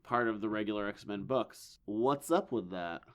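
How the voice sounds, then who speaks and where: A man hosting a casual comics podcast, hoarse from losing his voice, with dry wisecracks. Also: on the low side, expressive, moderate pace. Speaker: podcast host. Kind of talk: casual chat.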